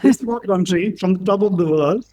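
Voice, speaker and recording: A man from Nepal, talking in a halting way about how he got into coffee. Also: sits high, mellow. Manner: slow, halting, with fillers. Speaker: guest.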